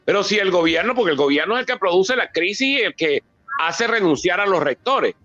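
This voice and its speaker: A man's voice: high voice, animated, a little fast, sharp tone, no echo, dull audio, faint music behind. A Venezuelan man giving emphatic, oratorical political commentary off the cuff, building his points with lists and repetition. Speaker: political analyst and author speaking at a book presentation. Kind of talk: impromptu political commentary answering a question.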